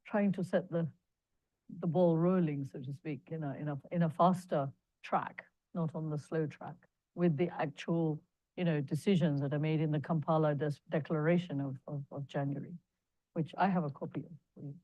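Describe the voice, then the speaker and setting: A woman panelist answering in a panel discussion, soft-spoken, repeating words as she thinks. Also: low voice, steady tone, normal speed, no room sound, dull audio.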